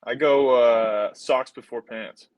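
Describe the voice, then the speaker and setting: A man's voice: mid-range voice, fairly even intonation, takes it slowly, dry recording, highs cut off. A young man giving brief, polite, laid-back answers as an athlete guest in a casual interview. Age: young adult. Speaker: baseball player guest.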